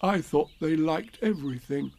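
Man doing a gentle, raised-pitch little storybook bear. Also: lively intonation, unhurried. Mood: earnest, patient. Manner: mild, earnest, slightly puzzled. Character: gentle, simple, polite little male bear. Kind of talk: children's storybook character voice.

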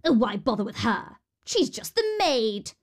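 A woman voicing a horrid, scornful stepmother in a storybook tale, with a sharp, commanding manner. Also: mid-range voice, expressive, slow pace. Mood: dismissive.

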